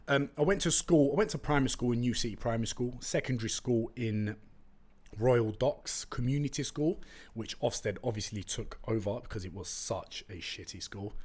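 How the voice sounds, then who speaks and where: A man's voice: mid-range voice, moderate pace, husky. Chatty young London man, slangy podcast host. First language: Turkish. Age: young adult. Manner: chatty, slangy, animated storytelling. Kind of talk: casual solo podcast chat. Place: room with brick walls, indoors. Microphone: close studio condenser mic with pop filter.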